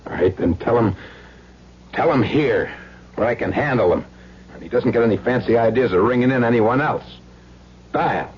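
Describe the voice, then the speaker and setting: An actor playing a menacing, desperate gangster ex-husband who bullies in tough, threatening slang in an old-time radio drama. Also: male voice, mid-range voice, animated, moderate pace, pauses often, close to an everyday voice. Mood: commanding, angry. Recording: muffled, noisy old radio recording with hum.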